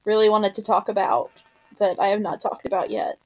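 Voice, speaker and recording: A woman's voice: mid-range voice, very animated, brisk, a little mellow, slightly rough, rough recording. A woman co-hosting a podcast, discussing a story casually as a fan. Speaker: podcast co-host.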